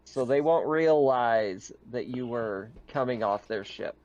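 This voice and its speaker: A man's voice: mid-range voice, unhurried, darkish tone, muffled recording. A man speaking casually as game master, narrating a tabletop roleplaying game. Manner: casual, conversational.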